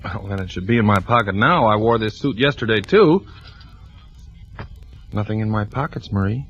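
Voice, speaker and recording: A low-voiced, brooding radio suspense narrator. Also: male voice, breathy edge. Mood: probing, suspicious. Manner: deliberate, brooding narration with dramatic pauses. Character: tense, suspicious fire inspector, husband and father, narrating. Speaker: actor narrating and playing the lead. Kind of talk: 1940s radio acting, first-person suspense narration. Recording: muffled old radio recording, loud organ music.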